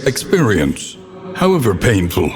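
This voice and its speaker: A man's voice: on the low side, wildly swooping pitch, measured pace, faint music behind. An actor playing a grave, weary, learned older man who narrates a gothic horror game with solemn, ominous, theatrical diction. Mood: grave, measured. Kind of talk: dramatic scripted gothic narration. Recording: long reverberant hall echo.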